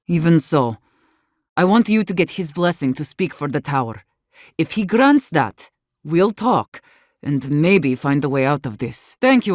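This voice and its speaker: Blunt, low-voiced outcast warden leader. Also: female voice, dry recording, clean studio sound. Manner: blunt, commanding, swearing. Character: defiant, blunt, battle-hardened woman leading exiled outcast wardens. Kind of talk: video game character dialogue.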